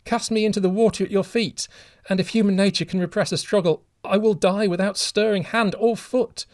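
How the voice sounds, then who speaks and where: A man's voice: high-pitched, fairly even intonation, a little fast, darkish tone, dry recording, studio quality, voice pushed up. A man voicing a desperate old father who shrieks and begs for his drowning son. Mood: begging. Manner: shrieking, pleading, breathless.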